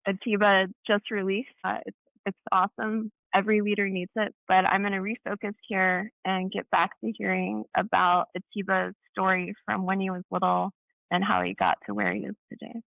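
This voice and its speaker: Female podcast host, conversational interviewer, gravelly fry in the voice, narrow phone-line audio. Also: mid-range voice, little pitch movement, moderate pace, no room sound. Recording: muffled, thin phone-like sound.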